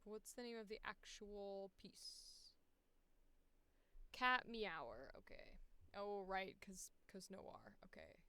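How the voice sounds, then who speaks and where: Clear-voiced woman thinking aloud casually. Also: medium pitch, big pitch swings, slow, bright, forceful tone. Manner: sweary, blunt, self-deprecating. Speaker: voice actor giving a walkthrough. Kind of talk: casual screen-share commentary, thinking aloud.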